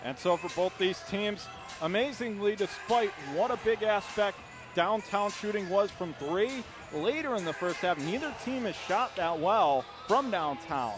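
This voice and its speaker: A man doing live play-by-play basketball commentary on the radio in animated, clipped bursts. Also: high voice, a little slow, music in the background. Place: indoor basketball arena.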